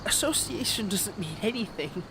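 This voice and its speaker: A man's voice: high-pitched, lively intonation, normal speed, background noise. A man playing a smug, possessive fantasy villain in a roleplay audio drama, with a taunting, theatrical manner. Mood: wounded, coaxing.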